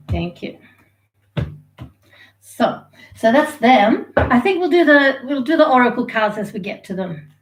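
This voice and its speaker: A woman's voice: fairly high pitch, background noise. A woman, from Sweden and Australia, giving a chatty, rambling live tarot reading and laughing easily. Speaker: tarot reader.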